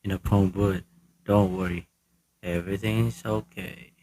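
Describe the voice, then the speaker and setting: A man's voice: low voice, fairly even intonation, measured pace, warm tone, low-quality recording. A man playing an unbothered, businesslike hired killer in a radio play, speaking in a matter-of-fact, reassuring way. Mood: casual.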